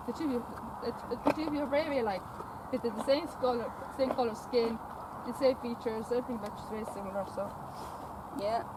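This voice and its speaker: A woman chatting outdoors in a paddock, coaxing a mare in baby talk, often repeating phrases and stammering over words. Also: high voice. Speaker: vlogger visiting a stud farm. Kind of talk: casual talk to a horse and companion. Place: outdoor paddock at a stud farm. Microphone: camera held by companion. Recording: outdoor wind and farm noise.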